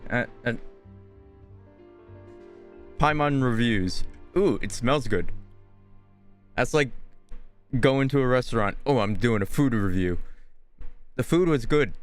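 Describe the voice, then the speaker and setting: A man's voice: mid-range voice, lively intonation, takes it slowly, long pauses, faint music behind. A man streaming a game, making casual, joking comments and reading lines aloud.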